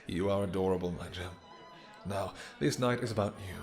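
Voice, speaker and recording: A man's voice: deep voice, darkish tone. A man playing a possessive, courtly vampire king in a romantic fantasy audio roleplay, speaking silky endearments with mock-polite menace.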